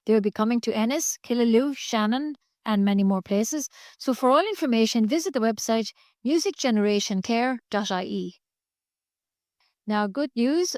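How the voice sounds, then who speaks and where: An Irish woman with a fairly high-pitched voice reads a community news bulletin. She delivers scripted local items as a radio newsreader, in a dry, close sound with little room on it. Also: a little mellow, dry recording.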